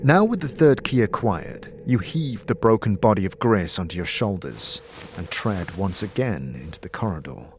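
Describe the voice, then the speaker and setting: A man narrating a scripted audio drama as game master, with measured, suspenseful storytelling addressed to the listener. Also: on the low side, a little hiss or hum.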